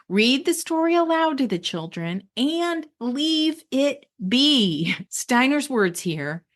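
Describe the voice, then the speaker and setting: Middle aged lady doing a scripted podcast, explains stuff expressively, voice goes up and down a lot. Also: fairly high pitch. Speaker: podcast host. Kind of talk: scripted solo podcast talk on homeschooling.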